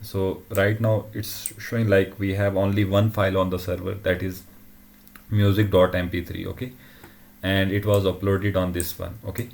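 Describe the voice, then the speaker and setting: A man presenting an informal technical demo in a halting way, often checking in with short tag questions. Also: sits low, flat delivery, gravelly, darkish tone. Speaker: tutorial presenter.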